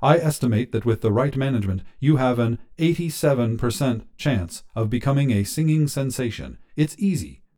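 A man voicing a pleasant but pushy male AI app in a story, excited and salesy. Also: medium pitch, normal speed, few pauses, close to an everyday voice. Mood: excited, persuasive. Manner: excited, salesy, smooth-talking.

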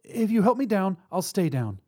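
A man acts out a frightened woman hostage from a dramatic audio story. Her voice is raised high, and she stammers and pleads in a timid, halting way. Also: sing-song, dark tone, breathy edge, full character voice. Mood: stammering, scared.

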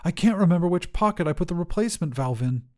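A man narrating first-person fiction as a desperate, oxygen-starved astronaut, in deliberate, intense, measured phrases. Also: high voice, lively intonation, darkish tone. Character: desperate oxygen-starved astronaut telling his own story. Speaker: story narrator.

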